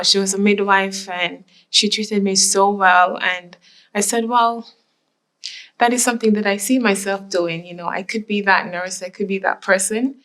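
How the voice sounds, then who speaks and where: A woman from Jamaica speaks with earnest reflection, circling back to her points as she talks. Her voice sits at a middle pitch. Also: fairly bright tone. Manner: reflective, earnest, repeats herself. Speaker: interviewee, immigrant mother. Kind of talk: documentary interview.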